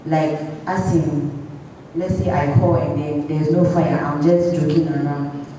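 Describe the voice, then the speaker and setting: A woman's voice: low voice, steady tone, measured pace, warm tone. A woman attending the seminar asks several questions in a hesitant way, with many fillers. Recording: distant, echoey hall with faint noise.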